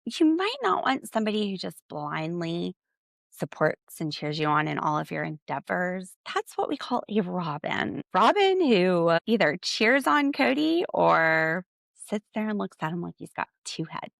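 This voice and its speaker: A woman's voice: lowish voice, sing-song, unhurried, vocal fry, a bit bright, no room sound, clean studio sound. A woman hosting a solo podcast, recapping a reality TV episode with sarcastic, exasperated commentary. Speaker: podcast host.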